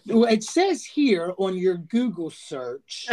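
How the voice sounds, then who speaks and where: A man's voice: sits high, very animated, takes it slowly, a little mellow. A man co-hosting a casual chat in a playful way, laughing easily. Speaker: host.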